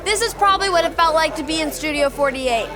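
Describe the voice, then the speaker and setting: An actress playing a bossy, excitable young woman who takes charge in a podcast sitcom. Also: high-pitched, fast, loud music behind the voice, natural voice. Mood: excited. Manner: bossy, excitable, self-assured. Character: Laura, bossy, eager young woman planner.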